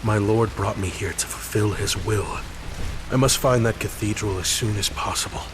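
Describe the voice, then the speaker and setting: A man's voice: lowish voice, moderate pace, close to an everyday voice. A man playing a brooding, devout warrior, a video game hero talking to himself. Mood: brooding, resolute. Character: brooding, devout male warrior on a holy mission. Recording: clear rain and thunder noise behind.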